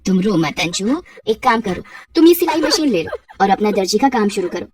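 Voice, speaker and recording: A woman's voice: medium pitch, very animated, moderate pace, close to an everyday voice. A woman voicing a kindly, motherly, talkative elderly neighbour in a cartoon story. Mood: kind, concerned. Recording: roomy echo, distant from mic.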